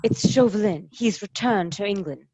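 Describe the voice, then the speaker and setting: A woman playing an urgent, loyal gentleman friend in an amateur radio play read, hurried and exclamatory. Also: medium pitch, natural voice, low-quality recording.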